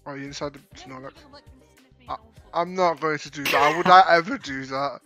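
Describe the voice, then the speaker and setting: A man hosting a virtual reality game vlog, chatting playfully and flirtatiously, breaking into loud excited laughter. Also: fairly high pitch, moderate pace, soft background music. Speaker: VR social game channel host. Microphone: VR headset mic.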